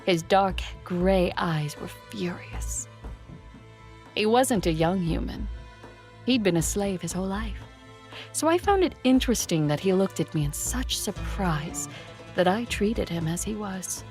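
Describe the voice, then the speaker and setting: A woman's voice: mid-range voice, lively intonation, unhurried, darkish tone. A woman playing an imperious, disdainful, ancient female vampire conqueror in an audio drama. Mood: cold, disdainful. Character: haughty two-thousand-year-old female vampire conqueror. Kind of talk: audio drama narration and acting. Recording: background music under voice.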